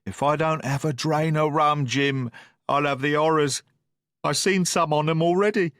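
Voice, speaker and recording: A man voicing an old drunken sea captain, feeble but blustering, who wheedles and talks in rough sailor's slang. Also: a little high, fairly even intonation, moderate pace, fairly bright tone, no room sound, studio quality, pitched above the performer's own voice, natural voice. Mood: pleading.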